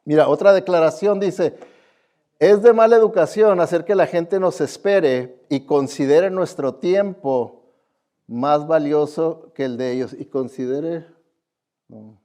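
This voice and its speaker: A man's voice: fairly high pitch, unhurried, mellow. A man preaching as a pastor to his congregation in a conversational way, admonishing them and often putting questions to them. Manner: admonishing, often asks listeners questions and tags for agreement. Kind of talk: conversational sermon with rhetorical questions. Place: speaking live before a church congregation.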